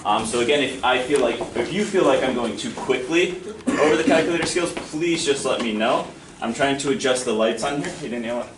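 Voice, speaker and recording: A man's voice: mid-range voice, a little fast. A young man teaching a math lesson to a class in a friendly, encouraging, self-deprecating way. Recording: echoey classroom from far off, noisy.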